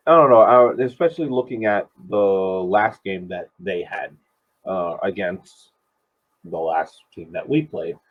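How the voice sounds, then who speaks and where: A man on a tinny, phone-like call giving casual sports commentary as a panelist, halting and deliberate as he thinks aloud. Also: fairly low pitch. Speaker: podcast panelist. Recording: tinny, muffled phone-like line.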